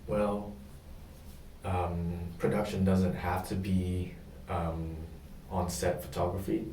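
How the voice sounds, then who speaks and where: A young man from Indonesia answering questions in a practice job interview, pausing often with ums. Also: deep voice, flat delivery, mellow, reverberant, far from the mic, slight background noise, low-quality recording. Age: young adult. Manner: hesitant, many pauses and ums.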